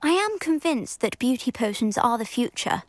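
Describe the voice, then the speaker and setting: A vain schoolgirl for a fantasy video game. She speaks in a fairly high, airy voice with smug, imperious assurance, recorded close and dry. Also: sing-song, normal speed, no echo. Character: vain, self-assured schoolgirl potion maker, breezily bossy. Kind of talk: video game character dialogue.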